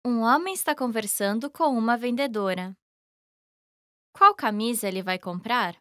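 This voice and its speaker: A woman reading scripted lesson dialogue in a careful, even way. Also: fairly high pitch, moderate pace, no echo, clean studio sound. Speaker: language lesson voice actor.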